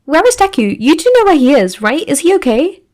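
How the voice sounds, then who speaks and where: An anxious teenage schoolgirl played by a woman reading a story, pitched high and urgent. She sounds demanding and worried, pressing hard with her questions. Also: very animated, a little mellow. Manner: rapid-fire urgent questioning.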